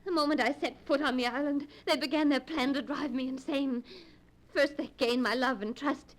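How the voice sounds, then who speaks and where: An actress playing a desperate, frightened young heiress in 1940s radio melodrama, breathless, halting and urgent. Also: female voice, sits high, fairly even intonation, close to an everyday voice. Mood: desperate pleading. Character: terrified, desperate young heiress pleading and narrating her ordeal. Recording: noisy 1940s radio broadcast.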